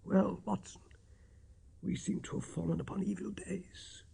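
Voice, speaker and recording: A man voicing a gaunt, ailing gentleman detective, feeble, croaking and gasping yet sharply commanding, in an audiobook story. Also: high-pitched, steady tone, measured pace, whispered, pitched above the performer's own voice, exaggerated voice. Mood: weary, feeble.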